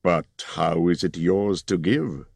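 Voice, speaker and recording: A man's voice: sits low, slow pace, gravelly, a little mellow, dry recording, exaggerated voice. A man voicing a grim, suspicious human leader who speaks bluntly.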